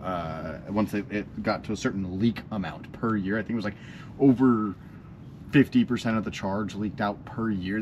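A man's voice: fairly low pitch, noisy background. A young man, an HVAC technician, explaining casually in a rambling way with many fillers and restarts. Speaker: HVAC technician and channel host. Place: backyard beside an AC unit, later a small office.

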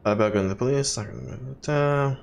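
A man's voice: mid-range voice, measured pace, gravelly, a little hiss or hum. A man hosting a gaming video, casually reading game messages aloud and commenting in a playful, theatrical way.

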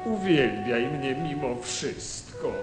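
Radio play casting: vain flamboyant admirer-seeker, lively delivery, echoey with music behind. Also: male voice, mid-range voice, slow. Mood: vain, gushing. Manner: conceited, flamboyant, gushing. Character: vain show-off man hungry for admiration and applause. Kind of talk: theatrical radio play acting.

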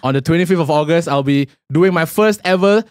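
A man's voice: on the high side, fairly quick, no echo, studio quality. A man chatting on a podcast, sheepish and a bit mock-formal. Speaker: comedian, podcast regular. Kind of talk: casual chat.

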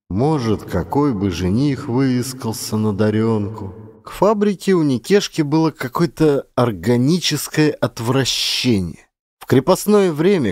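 A man reading literary prose as a dramatic audiobook narrator, expressively, with shifting emphasis and pauses. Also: mid-range voice, darkish tone, dry recording, studio quality.

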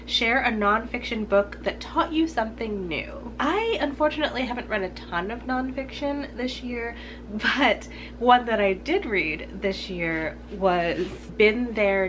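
Young woman, husky from a cold, upbeat and chatty, book talk to camera, faint hiss behind. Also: medium pitch. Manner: chatty, upbeat, laughs between sentences. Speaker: book vlogger. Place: room with bookshelves behind.

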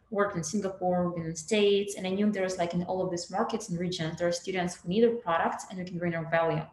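Brief: young Russian-accented woman founder, lowish voice, chatty with fillers, echoey laptop mic on a video call. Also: darkish tone, slight background noise. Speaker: startup founder. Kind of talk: panel talk on video call. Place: indoor room at home. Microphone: laptop webcam mic on video call. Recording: roomy video call audio, faint ambience.

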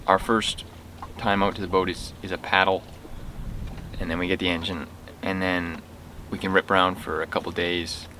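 A young man co-hosting a sailing vlog, calmly explaining things to camera with dry little quips. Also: deep voice, a little hiss or hum. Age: young adult. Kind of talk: casual chat to camera. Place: outdoors in a sailboat cockpit at anchor.

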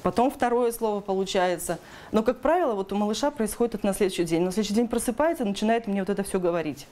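A woman's voice: medium pitch, racing, warm tone. A woman in her thirties explaining her work informally in an interview at home, retelling things with quoted asides. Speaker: interviewee, animation artist. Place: small room at home. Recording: clear ambient room noise.

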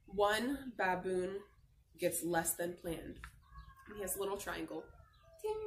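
A woman's voice: mid-range voice, lively intonation, slow pace. A young adult woman reading a picture book aloud to children as a teacher, expressively, asking questions and answering them. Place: outdoor patio by a garden. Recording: noisy outdoor camera recording.

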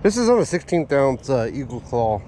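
A man giving casual running commentary while fishing at a park pond, talking to an action camera and getting excited over his catches. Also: mid-range voice, moderate pace. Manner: easygoing, talks to himself and the camera, excited by catches. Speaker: fishing vlogger. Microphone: chest- or head-mounted action camera. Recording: faint outdoor noise.

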